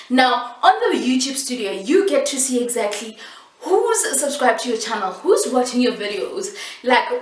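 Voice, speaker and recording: A South African woman, a native Setswana speaker, giving casual vlog tips in an animated, emphatic, playful way with repetition for stress. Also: fairly high pitch. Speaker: YouTube host giving tips. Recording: echoey room, distant mic.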